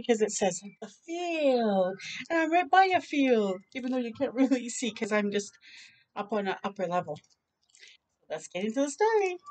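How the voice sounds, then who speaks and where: A middle-aged woman with a high-pitched voice reads a picture book to children. Her pace is unhurried, with expressive exclamations and chatty asides to young listeners. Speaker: children's storytime host. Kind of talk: animated read-aloud with chatty asides for children. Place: outdoor balcony near a park.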